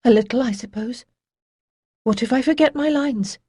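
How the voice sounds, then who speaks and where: A woman voicing a calm young bride-to-be who speaks softly but firmly. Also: a little high, moderate pace, husky, dry recording, clean studio sound, pitched above the performer's own voice. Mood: gentle.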